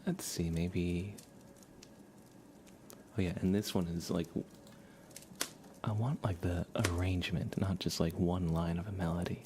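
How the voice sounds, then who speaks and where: Low-voiced man chatting casually on stream. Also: fairly even intonation, measured pace, long pauses, noisy background. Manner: unscripted, thinking aloud. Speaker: livestream host. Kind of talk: casual livestream chat.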